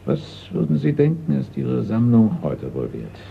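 An actor playing a polite, precise middle-aged art appraiser in a German radio crime play. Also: male voice, mid-range voice, huge pitch swings, measured pace, creaky, full character voice. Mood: polite, deferential. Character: seasoned male art appraiser, deferential, precise, discreet. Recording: dull, noisy old radio recording.

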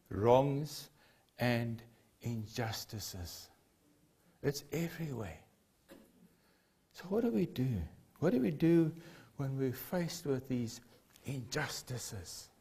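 An older man from South Africa, a native Afrikaans speaker with a South African accent, preaching a sermon in a church hall with earnest emphasis and repeated key phrases. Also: medium pitch. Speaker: pastor. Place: church hall with a lectern and a cross.

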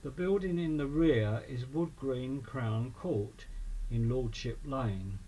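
A man with an English accent reading a scripted local history documentary in a measured manner. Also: medium pitch, warm tone. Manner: measured, listing names and dates. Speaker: narrator of a local history film. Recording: clearly noisy amateur voice-over recording.

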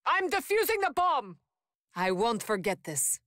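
An actress voicing a tough, confident, protective female soldier in short, forceful video game combat lines. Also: a little high, sing-song, unhurried, no echo, clean studio sound. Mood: confident, defiant. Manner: short, forceful battle callouts.

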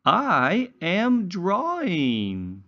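A man's voice: high-pitched, huge pitch swings, very slow, bright, forceful tone, dull audio. A man teaching young children in a read-along lesson, enunciating carefully with exaggerated, instructional intonation. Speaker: English teacher for young learners.

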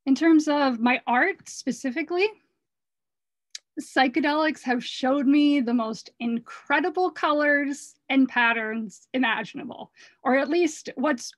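A hoarse woman talking casually about her art over slides, earnest and rambling, with frequent restarts. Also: high-pitched, measured pace, dry recording. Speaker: artist presenting her work.

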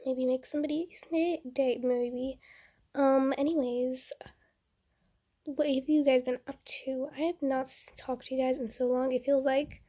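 Young woman, high and mellow, slightly stuffy nose, rambling casual gamer chatter. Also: measured pace. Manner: chatty, rambling, easily excited. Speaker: gaming channel host. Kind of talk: casual game commentary.

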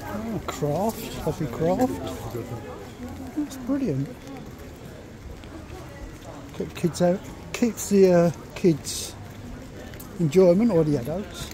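A man's voice: high voice, animated, takes it slowly, long pauses, mellow. A man giving rambling, casual commentary as he walks along outdoors as a travel vlogger. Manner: rambling, observational, fragmented remarks. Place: outdoors on a rainy pedestrian shopping street. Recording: outdoor street with passers-by chatter.

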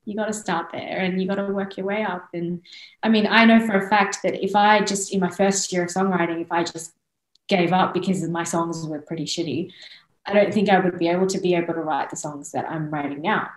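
A woman's voice: on the low side, fairly quick, roomy sound, low-quality recording. A woman in her thirties with an Australian accent, chatting casually and animatedly as a guest on a video call. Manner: animated, frequent laughs and restarts. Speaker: guest singer-songwriter. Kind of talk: casual interview chat. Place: small room indoors. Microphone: headset on video call.

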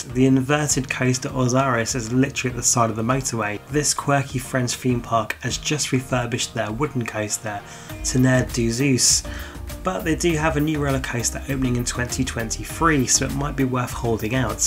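A man from the UK, a travel vlogger, giving an upbeat, chatty scripted voice-over about theme park road trips. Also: medium pitch. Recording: music bed under the voice.

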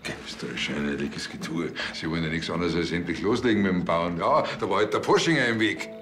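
An actor playing a cheeky, casual rural police officer with a Bavarian accent and a flippant manner in a TV crime comedy. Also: male voice, deep voice, quick pace, fairly bright tone, faint music behind.

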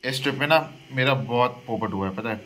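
A man's voice: medium pitch, a little fast, noisy background. A male travel vlogger chatting casually and playfully to his viewers, mixing English words into his talk.

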